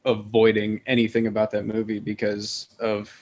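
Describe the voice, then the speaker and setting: A man's voice: on the low side, monotone, moderate pace. A man in a casual, conversational panel discussion on a podcast. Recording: clear background noise.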